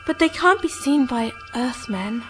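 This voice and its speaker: An actress playing a serene, alluring otherworldly moon woman in a radio drama, gentle, coaxing and unhurried in manner. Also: on the high side, animated. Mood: serene, mysterious. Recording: music behind the voice.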